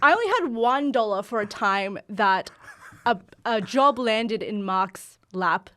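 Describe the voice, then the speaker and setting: A woman's voice: medium pitch, unhurried, fairly bright tone. A young woman hosting a podcast interview. She is playful and giggly in a casual way. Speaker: podcast host.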